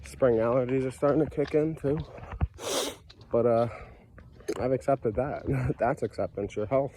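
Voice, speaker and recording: A man's voice: medium pitch, steady tone, measured pace, dark tone, slight background noise. A stuffy-nosed man with a cold, talking earnestly and casually about his own life, with lots of fillers and repeated phrases. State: congested with a cold, stuffy nose. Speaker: vlogger sharing his recovery story. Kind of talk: casual personal monologue.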